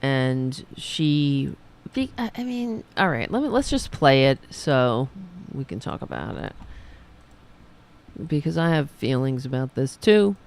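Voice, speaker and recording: A woman hosting a political talk livestream, hoarse after a long show, rambling and sarcastic as she talks to her chat. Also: a bass voice, sing-song, measured pace, a little mellow. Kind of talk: casual livestream commentary.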